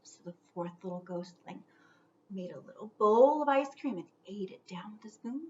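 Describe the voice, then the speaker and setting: A woman reading a children's storybook aloud theatrically, stretching out words and dropping into hushed whispers for suspense. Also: medium pitch, expressive, normal speed, highs cut off.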